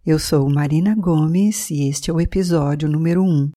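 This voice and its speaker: A woman from Brazil hosting a podcast as a language teacher, reading a scripted bilingual lesson with careful, deliberate enunciation for learners. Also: deep voice, warm tone.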